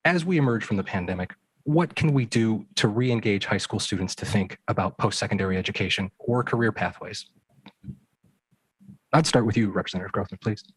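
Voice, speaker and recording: A man moderating a panel, posing questions in a measured, formal way. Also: fairly low pitch, breathy edge, no echo, rough recording.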